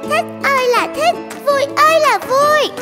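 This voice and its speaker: A woman voicing a high sweet little schoolgirl. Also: a little slow, in a large hall, over loud music. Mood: excited. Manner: sweet, polite, bright and lively. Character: cheerful shy schoolgirl, about ten. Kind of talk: cartoon dubbing.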